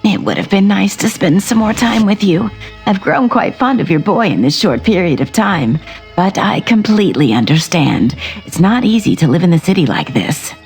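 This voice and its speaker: A woman playing a caring, gently concerned older mother in an audio drama. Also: mid-range voice, animated, moderate pace, soft background music, natural voice. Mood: fond, concerned. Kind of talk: dramatised audio series acting.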